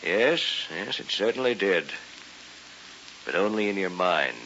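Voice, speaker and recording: Bright, forceful, skeptical family doctor. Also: male voice, low voice, expressive, exaggerated voice. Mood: firm, reassuring. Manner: measured, probing, authoritative. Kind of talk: vintage radio drama acting. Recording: dull vintage radio with hiss.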